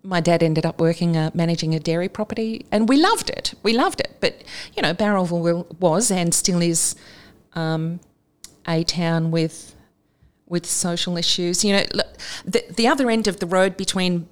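A woman's voice: lowish voice, moderate pace. An Australian woman in her fifties, a broadcaster and interview guest, telling personal stories candidly in casual conversation, with frequent ums and self-corrections. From northern New South Wales, Australia.

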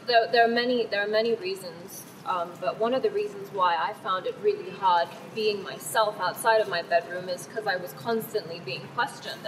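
A woman's voice: mid-range voice, noisy background. A woman born in Thailand, whose first language is Thai, answering interview questions thoughtfully and earnestly, with frequent fillers and restarts. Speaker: author being interviewed.

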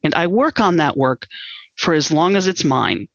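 A middle-aged American woman explaining her work as an interview guest, in deliberate, measured phrases. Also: deep voice, big pitch swings, gravelly, dry recording, lo-fi sound. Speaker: air traffic controller, interview guest.

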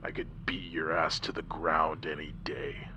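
A man's voice: a bass voice, level tone, unhurried, lo-fi sound, noisy background. A man playing a cocky, abrasive military captain in a sci-fi audio drama, with a swaggering, combative manner. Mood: aggressive.

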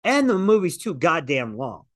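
A man's voice: fairly high pitch, big pitch swings, moderate pace, dry recording, clean studio sound. A man hosting a film podcast in casual chat, enthusiastic and profane, rambling with restarts. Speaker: podcast host, film reviewer.

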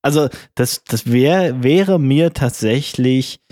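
A man with a cold co-hosts a casual chat, talking in a mellow, relaxed tone. He rambles and restarts his sentences, dropping coarse slang as he goes. The sound is dry and close, with no room around it. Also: medium pitch, slow pace, no room sound. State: has a cold. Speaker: podcast co-host.

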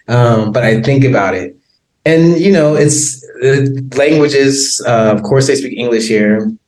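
A man's voice: mid-range voice, a little slow, darkish tone. Chatty American man in an echoey room. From United States. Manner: chatty, laughs often, many fillers. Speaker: interview guest. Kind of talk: casual conversation.

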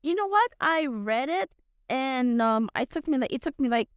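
A woman's voice: high-pitched, expressive, normal speed, clean tone, no echo, clean studio sound. A young woman with a French accent hosting a podcast, talking in a hesitant way with many fillers and restarts. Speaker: podcast host. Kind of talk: casual podcast chat.

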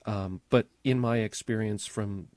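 Earnest low-voiced man explaining calmly. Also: no echo. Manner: earnest, measured, many fillers. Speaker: co-host, religious expert. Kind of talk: calm explanatory talk.

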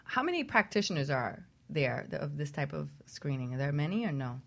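A woman's voice: deep voice, very animated, fairly quick, vocal fry, a bit bright. A woman hosting a radio show in a casual, chatty style. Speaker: radio show host, yoga teacher.

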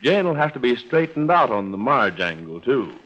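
A man's voice: mid-range voice, very animated, normal speed, fairly bright tone, dull audio. An American man announcing in a polished, formal 1930s radio style, reading a script on a vintage recording.

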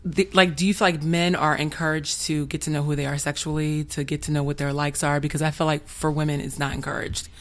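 A young woman chatting candidly, her low voice wandering through fillers and restarts. She speaks openly and at length, circling back as she builds each point. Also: level tone, fast talker, few pauses. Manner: frank, rambling, many fillers and restarts. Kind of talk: candid conversational chat.

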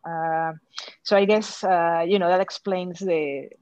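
A woman's voice: lowish voice, steady tone, unhurried, a little mellow, dry recording, dull audio. A middle-aged woman with a Venezuelan accent, a native Spanish speaker, talking about her background as an interview guest, with many hesitations and restarts. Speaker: guest, research geologist. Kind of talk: casual interview conversation. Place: home.

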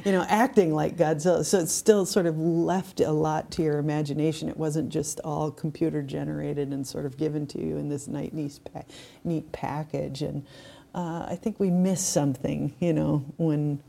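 A woman's voice: sits low, flat delivery, moderate pace, gravelly, dark tone. A woman co-hosting a podcast, talking in a casual, discursive style. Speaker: podcast co-host.

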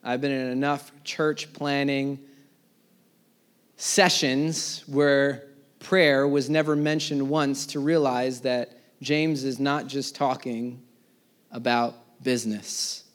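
A man in his thirties, a pastor, preaching a sermon to a live congregation, rising in emphasis and then dropping to quiet words at the ends of phrases. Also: mid-range voice, fairly even intonation, takes it slowly. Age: 30s. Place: church service before a live congregation.

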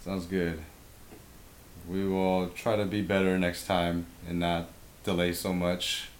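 Casting note: laid-back man with a raspy, bronchitis-worn voice on a podcast, pitched a bit low, in an echoey room. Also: flat delivery, takes it slowly. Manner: laughs often, laid-back. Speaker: podcast co-host. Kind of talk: casual chat. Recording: roomy echo.

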